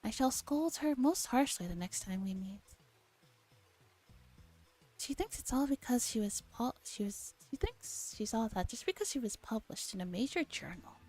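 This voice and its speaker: A woman streamer gently reading a visual novel aloud in a soft ASMR style, relaxed and chatting casually, at times dropping to a whisper. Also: fairly high pitch, normal speed. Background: background music.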